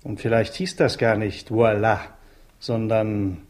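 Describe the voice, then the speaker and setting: An actor playing an earnest, cautious investigator with an instructing manner in a 1960s German radio drama. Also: male voice, on the low side, little pitch movement, slow pace, darkish tone. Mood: urgent. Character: earnest, cautious man leading a crime investigation.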